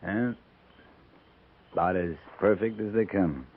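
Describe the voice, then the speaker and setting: A seasoned American cavalry captain, terse and measured, used to command. It is 1950s radio western acting, heard through a thin, tinny band with light background noise. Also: male voice, sits low, vocal fry, full character voice. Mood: quiet, weary. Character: seasoned, steady male cavalry captain on the frontier.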